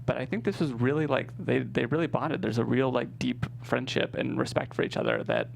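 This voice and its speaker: A man's voice: mid-range voice, big pitch swings, fairly quick, vocal fry, low-quality recording. A man being interviewed as a screenwriter, talking casually and explaining his process. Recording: faint hum and background noise.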